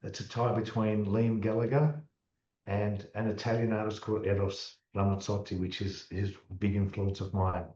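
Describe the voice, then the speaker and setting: An Australian man with a low, mellow voice talks about his music in a relaxed way, often repeating words as he restarts a thought. He sits back from the mic, and the room sounds echoey and slightly muffled. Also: moderate pace. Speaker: musician, interview guest. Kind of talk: casual interview chat. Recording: distant, dull, roomy sound.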